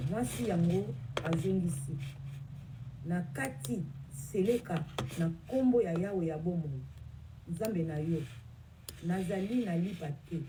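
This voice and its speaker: A woman reads scripture at a very slow, careful pace. Her voice is dark and mellow, set slightly low. A faint hiss of background noise sits under her reading. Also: poor audio. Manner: measured, careful reading with small self-corrections. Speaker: scripture reader. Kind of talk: slow Bible reading aloud.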